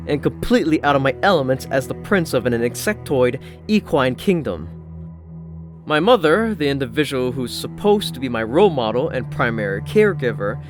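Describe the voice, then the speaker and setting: A male narrator reads a first-person story in a slightly high voice. Music plays clearly behind his scripted delivery. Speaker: audiobook narrator. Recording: narration over prominent music.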